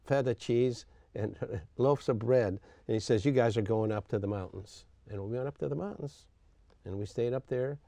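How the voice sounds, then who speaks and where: An older man from Greece, a retired coach, recounting his life in an interview with a halting manner, often pausing and clearing his throat. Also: lowish voice, warm tone, no echo.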